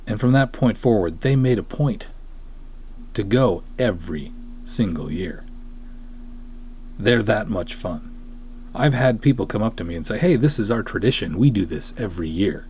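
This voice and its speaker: Low-voiced man rambling on a podcast. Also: a little mellow, breathy edge. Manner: rambling, self-deprecating. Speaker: podcast host, film writer and director. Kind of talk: casual podcast monologue.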